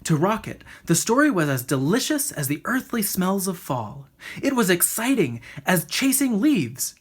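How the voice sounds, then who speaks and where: A woman reading a children's storybook aloud in an expressive narrating style. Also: medium pitch, moderate pace, breathy edge. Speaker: storybook reader.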